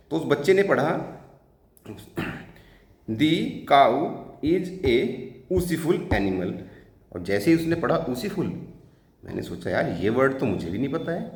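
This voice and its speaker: A man's voice: a little high, a little mellow. A man teaching a spoken English lesson in Hindi, chatty, explaining through anecdotes and checking questions. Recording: echoey room.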